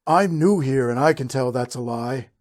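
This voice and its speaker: A man voicing a proud, defensive superhero in comic banter, delivered earnestly and deadpan. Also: mid-range voice, normal speed, voice pushed down, lightly acted. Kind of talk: comic character banter in a recap show.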